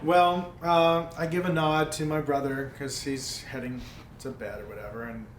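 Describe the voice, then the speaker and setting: A man's voice: on the high side, flat delivery, normal speed. A man playing in a tabletop game, chatting casually as he says what his character does and thinks. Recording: echoey room with faint noise.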